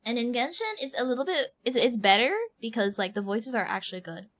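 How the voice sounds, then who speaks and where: A woman streaming a game, giving casual live reaction commentary in an animated, exaggerating manner. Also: fairly high pitch, a little fast. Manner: animated, mimics lines with exaggeration.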